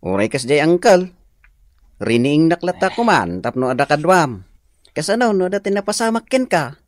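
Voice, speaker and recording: An actor playing a caring, dutiful young nephew in an Ilocano radio drama, earnest, with an Ilocano accent. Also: male voice, a little high, very animated, moderate pace, dry recording, clean studio sound. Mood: concerned.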